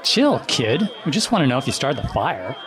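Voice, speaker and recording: An actor playing a cocky high school bully in an audio drama, taunting and slangy. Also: male voice, sing-song, moderate pace, lightly acted. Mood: goading. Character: cocky bullying male high school student, brash, goading. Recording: loud music and crowd behind.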